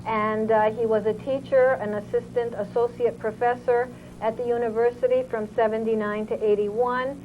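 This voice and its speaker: A woman hosting an interview show, introducing her guest in a measured way, with many pauses and fillers. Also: a little high, level tone. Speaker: talk show host. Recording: tinny phone-like audio with faint hiss.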